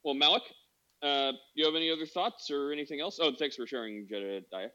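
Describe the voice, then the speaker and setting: A man hosting a casual call-in discussion, relaxed and jokey with loose phrasing. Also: a little high, brisk. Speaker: host. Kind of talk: casual chat. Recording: dull lo-fi audio.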